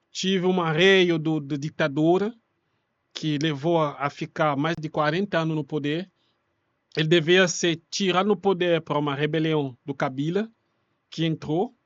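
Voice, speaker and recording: A man's voice: fairly high pitch, no room sound, lo-fi sound. A man from Kinshasa with a Congolese accent, a native speaker of Congolese languages, explaining in non-native, loosely grammatical Portuguese as an interview guest. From Kinshasa, Democratic Republic of the Congo. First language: Congolese languages such as Lingala. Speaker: interview guest, refugee rights activist.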